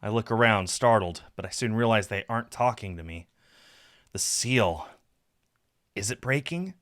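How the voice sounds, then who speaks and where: A man's voice: fairly low pitch, animated, moderate pace, fairly bright tone. A man in his thirties, a game streamer, reading a visual novel aloud in a dramatic narrating style. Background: game music with guitar.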